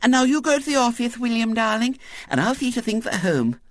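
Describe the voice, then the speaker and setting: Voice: medium pitch, very animated, fairly quick, no echo, studio quality, pitched above the performer's own voice, close to an everyday voice. A storyteller voicing an imperious, simpering little girl with a sweet, lisping, wheedling manner. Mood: bossy, happy. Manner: lisping, sugary, pleading.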